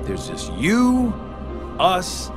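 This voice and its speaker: An actor playing a smug, taunting middle-aged villain in a video game, with a sarcastic drawl. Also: male voice, high voice, huge pitch swings, drawn-out words, music in the background, heavily acted. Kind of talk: video game cutscene acting.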